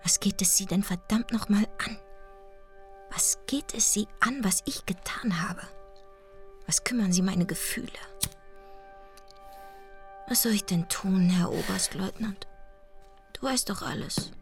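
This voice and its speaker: A woman's voice: medium pitch, a little slow, long pauses, soft background music, close to an everyday voice. An actress playing a tormented, bitter young woman in a radio drama monologue, often dropping into a whisper. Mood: desperate, defiant.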